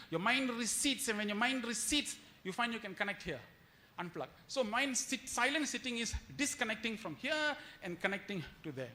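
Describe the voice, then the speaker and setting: A man's voice: extremely high pitch, pushed and bright. A man giving a devotional lecture as a retreat speaker, telling stories with acted-out quotes and rhetorical questions and repeating phrases for emphasis.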